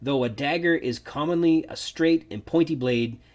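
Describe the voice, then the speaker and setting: A man's voice: fairly high pitch, moderate pace, darkish tone. A man hosting a solo podcast, musing thoughtfully over a novel and picking apart details. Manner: thoughtful, musing, nitpicky asides. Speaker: podcast host. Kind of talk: solo book-discussion podcast.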